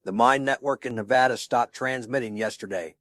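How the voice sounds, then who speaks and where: A resolute male colonel acted by a man speaks with blunt authority in an audio drama. His voice sits at an ordinary male pitch, and his phrasing is clipped and military. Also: a little fast, a bit bright, natural voice. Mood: firm, grim. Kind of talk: audio drama radio dialogue.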